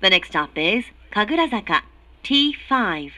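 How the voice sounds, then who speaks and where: Very slow, bright female train announcer. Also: mid-range voice, sing-song, dry recording. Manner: formal, measured, clearly articulated. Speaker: English-language train announcer. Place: recorded announcement played inside subway car.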